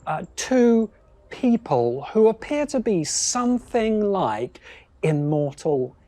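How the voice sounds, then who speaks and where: Mellow male video-essay narrator. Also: high-pitched, big pitch swings, takes it slowly, no echo. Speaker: host, science fiction critic.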